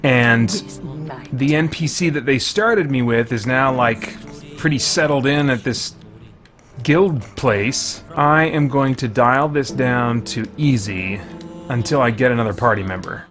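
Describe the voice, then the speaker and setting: A man's voice: medium pitch, measured pace, over music. A man giving casual video game commentary as a reviewer, thinking aloud in informal language with mild exclamations.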